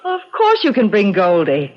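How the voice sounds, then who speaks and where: An actress playing a resolute, wryly amused middle-aged widow in a 1970s radio drama. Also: female voice, mid-range voice, wildly swooping pitch, moderate pace. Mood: brisk, pleased. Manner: decisive, wry. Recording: dull old radio broadcast.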